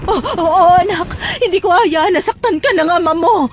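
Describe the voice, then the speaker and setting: Woman playing upset angry mom in a melodrama, high voice, swings all over, accusing. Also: animated, fast talker, very noisy. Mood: tearful, desperate. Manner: emotional, accusing. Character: distraught, enraged young mother, deceived and vengeful. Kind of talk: melodramatic audio drama acting.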